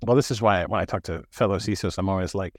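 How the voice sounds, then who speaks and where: Man explaining security, low voice. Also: fast talker, dry recording, clean studio sound. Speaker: cybersecurity executive guest. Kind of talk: podcast conversation.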